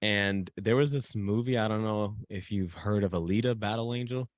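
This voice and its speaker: A man from the Dominican Republic, a guest author in a casual podcast interview, telling a story with excitement and repeating himself as he goes. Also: fairly low pitch, normal speed, no echo, clean studio sound. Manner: animated storyteller, repeats and restarts when excited.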